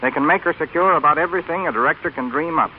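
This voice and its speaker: American male radio guest, rapid scripted delivery, thin scratchy vintage sound. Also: a little high. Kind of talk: scripted 1930s radio talk. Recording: scratchy, tinny old radio broadcast.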